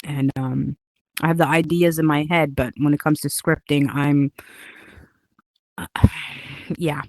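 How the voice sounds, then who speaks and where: A woman's voice: low voice, takes it slowly, no room sound. Chatty woman with a Hungarian accent. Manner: rambling, many fillers, animated laughs. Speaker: game builder. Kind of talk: casual chat.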